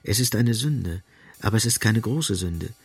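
A man's voice: lowish voice, animated, moderate pace, creaky, breathy edge, full character voice. Man voicing a tender, musing young woman. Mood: musing, generous. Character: young, kindly, guileless, sensual Italian cashier woman. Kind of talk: radio play narrator voicing thoughts. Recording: faint music behind the voice.

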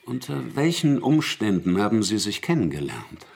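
Radio play role: young male detective, lowish voice with sharp rises and falls, official and probing. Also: very animated, moderate pace, vocal fry, husky, exaggerated voice. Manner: clipped, formal interrogation. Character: young male police investigator, brisk, official, insistent.

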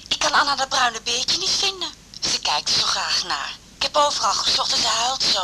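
A woman's voice: high voice, big pitch swings, a little fast, noisy background. An actress in a radio drama playing an older, apologetic, fretful grandmother speaking over the telephone. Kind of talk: naturalistic radio drama dialogue.

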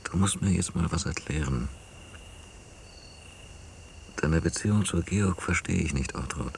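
A man's voice: sits low, unhurried, gravelly, slight background noise. An actor playing a casual, probing man on holiday with friends in a radio drama. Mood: probing, puzzled. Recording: 1990 radio drama, faint music.

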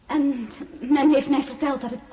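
An actress in a radio drama playing an impulsive, plaintive wife of a crown prince, with a theatrical, fretful manner. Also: female voice, sits high, steady tone, moderate pace, warm tone, natural voice. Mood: complaining.

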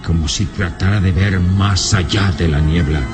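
Male narrator for a radio serial: low pitch, solemn dramatic delivery, clear music underneath. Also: moderate pace. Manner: solemn, ominous storytelling.

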